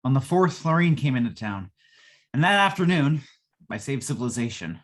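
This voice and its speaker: A man's voice: medium pitch, natural voice. Actor as wry confiding repairman, radio drama. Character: wry repairman from the future recounting his story. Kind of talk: old-time radio drama narration.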